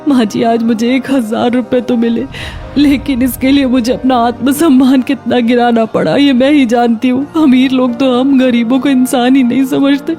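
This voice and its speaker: A humble, put-upon young housemaid from a cartoon story as a woman narrator plays her. Her voice is high and soft-toned, polite and earnest, with music faintly behind. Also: steady tone, normal speed, natural voice. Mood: hurt, indignant. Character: humble, hardworking young housemaid and daughter-in-law.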